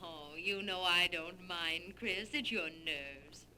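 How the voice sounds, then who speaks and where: Slow-spoken, doting radio wife. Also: female voice, lowish voice, sharp tone, heavily acted. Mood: soothing. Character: doting, soothing wife, eager to please. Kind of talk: 1940s radio melodrama acting. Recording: very noisy old 1940s radio recording.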